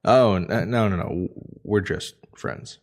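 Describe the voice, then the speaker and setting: Easygoing low-voiced male space explorer. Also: slow pace, darkish tone. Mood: sheepish, hesitant. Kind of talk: naturalistic sci-fi audio drama dialogue.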